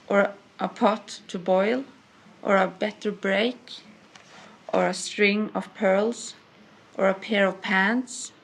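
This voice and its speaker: A woman teacher reading a children's rhyming book aloud in a measured, list-like way with small stumbles. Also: mid-range voice, fairly bright tone, low-quality recording.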